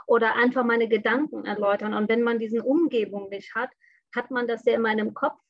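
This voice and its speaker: Higher-voiced woman raised in Bangladesh. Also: steady tone, brisk, no echo, lo-fi sound. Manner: open, frank and reflective, with frequent fillers. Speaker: interview guest. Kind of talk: conversational interview.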